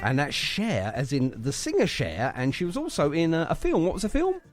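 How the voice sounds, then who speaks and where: A man's voice: fairly high pitch, wildly swooping pitch, fairly quick. A man hosting a radio show in a chatty, jovial, bantering manner. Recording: music playing underneath the voice. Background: music bed.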